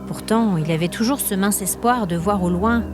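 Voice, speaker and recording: A woman narrating scripted fiction as a storyteller, with measured, literary phrasing. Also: mid-range voice, music in the background.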